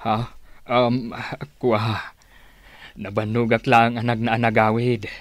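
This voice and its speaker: A man's voice: medium pitch, flat delivery, slow pace, no echo, lightly acted. A man acting in an Ilocano radio drama as a wary, defiant gunman, speaking dramatically as if to himself. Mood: subdued, guarded. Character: wary male gunman, defiant rival of a general.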